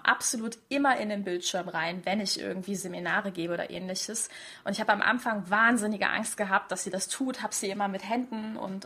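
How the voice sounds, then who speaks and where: A woman's voice: mid-range voice, fairly bright tone, husky. A woman host and coach in conversation, affirming her guest's points and building on them. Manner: affirming, picks up and builds on ideas. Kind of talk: conversational interview.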